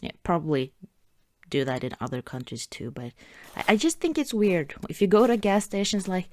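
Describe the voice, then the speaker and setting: A woman with a Norwegian accent playing a cheerful, affectionate girlfriend in an ASMR roleplay, soft-spoken, chatty and a little hesitant. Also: lowish voice, very animated, normal speed, no echo. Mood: chatty, musing.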